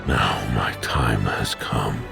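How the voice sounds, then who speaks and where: Grave weary general, rumbling bass, gravelly, formal, regal, game dialogue. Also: male voice, over music, full character voice. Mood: solemn, remorseful. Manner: slow, formal, deliberate. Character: solemn, weary male general facing his end, grave and regal. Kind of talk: video game cutscene acting.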